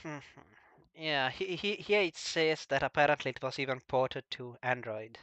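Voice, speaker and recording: Hesitant, stammering man with a bright voice. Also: mid-range voice, normal speed, smooth, no room sound. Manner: very hesitant, stammering with repeated word starts. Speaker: host of a visual novel talk stream. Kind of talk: casual chat.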